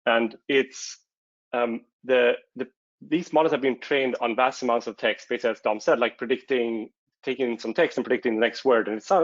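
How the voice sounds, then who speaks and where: A man's voice: medium pitch, no echo. Hesitant Swedish-native man, dry dull audio. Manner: hesitant, many fillers and restarts. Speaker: conference presenter. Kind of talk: technical talk.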